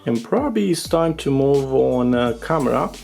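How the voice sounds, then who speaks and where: A man whose first language is Romanian walks through a product in an informal, unscripted way. His mid-pitched voice has noticeable fry, and he often pauses on fillers. Faint guitar music plays behind him. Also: expressive, darkish tone. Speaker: tech reviewer. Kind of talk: casual unscripted product overview commentary.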